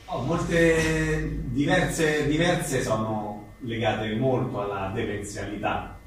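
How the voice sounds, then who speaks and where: A male interviewer speaks at a very slow pace in a casual live setting. His mid-pitched voice comes through a heavily echoing room. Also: fairly even intonation. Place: echoey indoor venue at a live book talk. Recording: very echoey room, distant mic, faint noise.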